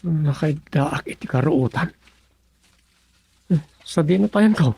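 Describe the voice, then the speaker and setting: A man's voice: a little high, expressive, unhurried, creaky, dark tone, slightly rough, heavily acted. An actor playing a puzzled younger countryman in a radio serial, with a Filipino accent, wondering aloud hesitantly. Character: puzzled younger countryman exploring a strange place.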